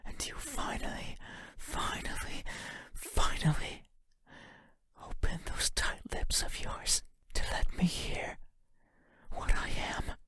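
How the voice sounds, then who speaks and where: A man voicing a lonely, yearning monster for a horror game, in a breathy whisper with a halting, intimate manner. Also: bright, forceful tone. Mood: eager longing. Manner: halting, intimate, musing, formal phrasing. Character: lonely wordless monster lurking in the dark, yearning, eerie. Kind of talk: video game horror monologue.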